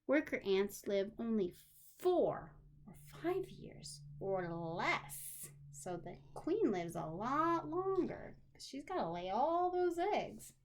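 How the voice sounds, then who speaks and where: A woman teacher reading aloud to young children in an expressive manner, pausing for simple explanations and questions. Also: fairly high pitch, a little hiss or hum. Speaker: special education teacher.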